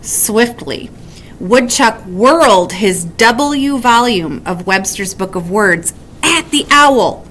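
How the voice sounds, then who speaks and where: A woman reading a children's bedtime story aloud in an animated way with dramatic emphasis. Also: fairly high pitch, slow pace, slight background noise, low-quality recording. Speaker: story reader.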